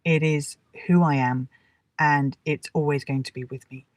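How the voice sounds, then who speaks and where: A middle-aged woman with an English accent teaching in a relaxed, chatty and encouraging way, speaking directly to her listeners. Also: sits low, moderate pace. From England. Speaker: English teacher hosting a live lesson. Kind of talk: relaxed, chatty livestream talk with personal anecdotes. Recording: roomy echo, distant from the mic.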